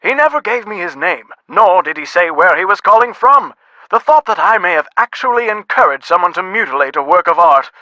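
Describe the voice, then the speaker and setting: A man's voice: high voice, expressive, fairly quick, sharp tone, no echo, muffled recording. A man playing an eager, courteous college professor and carousel expert in a video game, with a precise, wordy way of talking.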